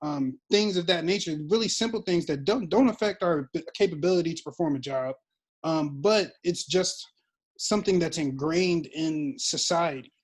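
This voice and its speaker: A man's voice: a little high, normal speed. A man talking casually and reflectively, feeling his way toward questions as he goes. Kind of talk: casual conversation.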